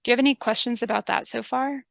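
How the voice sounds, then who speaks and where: A woman with a calm, polite and methodical manner, giving instructions in a scripted public health training video. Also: a little high, quick pace, creaky, dry recording, studio quality. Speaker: public health interviewer and narrator.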